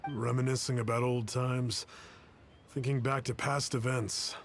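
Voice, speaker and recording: A man playing a nostalgic townsman in a video game with a gravelly voice. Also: mid-range voice, level tone, unhurried, over music. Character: nostalgic longtime friend, a plain townsman.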